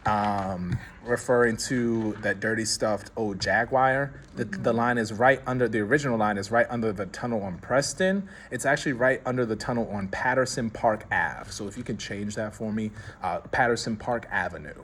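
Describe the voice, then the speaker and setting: A man directing a rehearsal in a theater, giving script notes in a casual, halting way with many restarts. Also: lowish voice, fairly bright tone. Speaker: director and playwright. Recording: noisy room.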